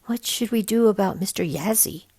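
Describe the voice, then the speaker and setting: Woman as incredulous kid adventurer, pitched up high. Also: moderate pace, vocal fry, a little mellow, husky. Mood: questioning. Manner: incredulous, questioning.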